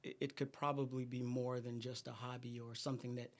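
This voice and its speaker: A middle-aged man from Guyana, a singer, chatting in an interview in a soft, halting way with many fillers. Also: medium pitch, level tone. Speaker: guest singer. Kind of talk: casual interview chat.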